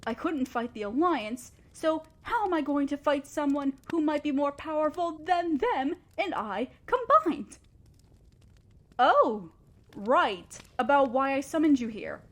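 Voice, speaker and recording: A woman acting a haughty, ruthless evil queen with an imperious, theatrical manner, speaking to a subordinate in a scripted fantasy audio roleplay. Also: on the high side. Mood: worried, exasperated, then imperious, impatient. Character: haughty, ruthless evil sorceress queen fretting over her threatened empire.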